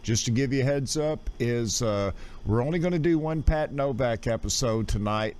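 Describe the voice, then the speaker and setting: A man's voice: mid-range voice, a little mellow. A man hosting a radio show livestream, chatting casually and greeting listeners in a folksy way. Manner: folksy, addresses listeners as gang.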